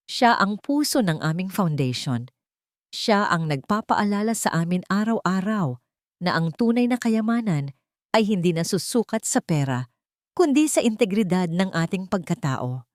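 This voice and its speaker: A woman's voice: lowish voice, sing-song, no room sound, clean studio sound. Woman voicing a devoted, dignified billionaire husband. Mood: formal, admiring. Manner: earnest, measured speech-making.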